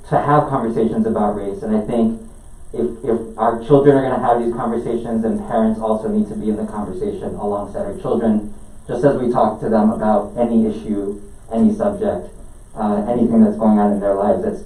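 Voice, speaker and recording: A man from Peru in his thirties, a parent giving a halting public comment at a school board meeting, full of fillers and restarts. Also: fairly low pitch, warm tone, recorded from a distance. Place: meeting room. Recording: echoey room, picked up from afar.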